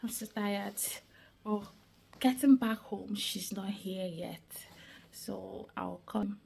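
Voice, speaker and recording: A young woman with a Nigerian accent chats to her camera at home in a soft, slow way. Her voice has a gravelly edge, and she laughs often between sentences. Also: mid-range voice, slight background noise, low-quality recording. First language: Igbo. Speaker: vlogger. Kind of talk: casual vlog narration.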